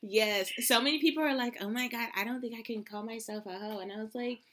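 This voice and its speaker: A woman's voice: a little high, quick pace, a bit bright. Upbeat, informal woman hosting a podcast. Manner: informal, enthusiastic, frequent y'all. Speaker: podcast host. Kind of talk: casual podcast chat.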